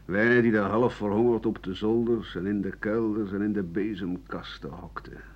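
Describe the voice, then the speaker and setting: Male actor as sardonic, self-justifying old man for radio drama monologue; husky, rambling delivery, muffled lo-fi recording. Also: fairly low pitch, steady tone, normal speed, close to an everyday voice. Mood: weary, sardonic. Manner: rambling, coarse, sardonic, stammering at times. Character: bitter, self-justifying old French doctor-writer looking back. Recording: dull lo-fi 1980 radio recording.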